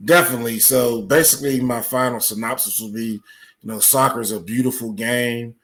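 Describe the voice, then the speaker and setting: A man speaking as a podcast guest, explaining at length in a halting, deliberate way with many filled pauses. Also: mid-range voice, steady tone, fairly bright tone. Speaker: podcast guest, soccer coach. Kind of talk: conversational interview, explaining at length.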